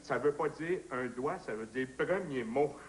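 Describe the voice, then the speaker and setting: A man's voice: medium pitch, lively intonation, unhurried, noisy background, natural voice. A man playing a confused game show contestant, hesitant.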